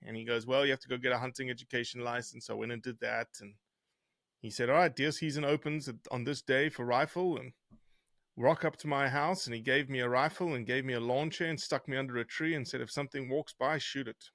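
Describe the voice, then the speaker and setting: A middle-aged man with a softened South African accent talks as an interview guest, telling stories in a conversational way. His mid-pitched voice sits in a very dry space with little room sound. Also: fairly even intonation, fast talker, no room sound. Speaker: interview guest, conservation scientist. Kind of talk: conversational storytelling.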